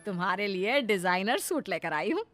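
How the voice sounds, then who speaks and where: A woman's voice: medium pitch, sing-song, normal speed, over loud music, lightly acted. A woman playing a doting, possessive middle-aged mother-in-law in a moral-story cartoon, coaxing and insistent.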